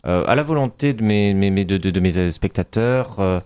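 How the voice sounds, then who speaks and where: A man in a comedy radio sketch who talks in a deadpan, hesitant way and keeps restarting his sentences. His voice sits a little low. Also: normal speed, clean tone. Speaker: comic guest playing a medium.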